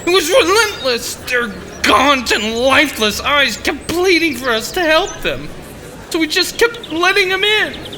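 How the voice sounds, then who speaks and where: A man's voice: very high-pitched, sing-song, unhurried, pushed and bright, a little hiss or hum, full character voice. A man playing a panicky, callous shelter schemer with a whiny, excuse-making manner in a comedic audio drama.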